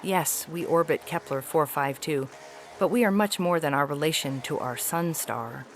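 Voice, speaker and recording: A woman voicing a haughty, weary feline alien ambassador in a science fiction audio story, speaking in a formal, measured, articulate way. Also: deep voice, a little hiss or hum. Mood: earnest wonder. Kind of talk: dramatic reading of an epistolary science fiction story.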